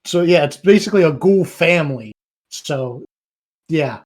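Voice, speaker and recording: Rambling man with a slightly high voice. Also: animated, measured pace, darkish tone. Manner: rambling explanations full of "um" and "like". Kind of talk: casual podcast chat.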